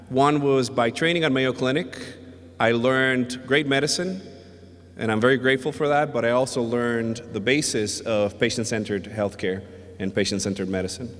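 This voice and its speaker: A man from the Dominican Republic giving a conference presentation, speaking in a measured, hesitant way with many filler sounds. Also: medium pitch. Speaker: invited conference speaker, emergency physician. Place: hotel ballroom at a summit. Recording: long reverb of a large ballroom.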